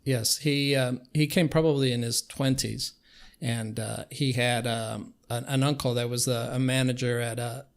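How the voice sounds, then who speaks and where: Older Cuban-born man, even-toned and halting. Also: medium pitch. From Cuba. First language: Spanish. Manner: halting, hesitant phrasing with frequent pauses. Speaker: interview guest. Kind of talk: conversational reminiscence.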